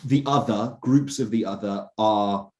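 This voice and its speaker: A young man with a low, mellow voice talks through his views as a podcast guest. He restarts his sentences often and pads them with fillers, earnest and thoughtful. The tone is dark and warm rather than bright. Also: a little slow. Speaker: journalist, interview guest. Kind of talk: casual podcast conversation.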